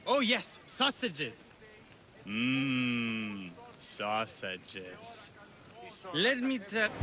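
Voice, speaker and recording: A man's voice: sits high, pushed and bright, exaggerated voice. A man playing a drunken, theatrical actor in a video game, lovesick and playful, slurring and rambling melodramatically. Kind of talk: video game character acting.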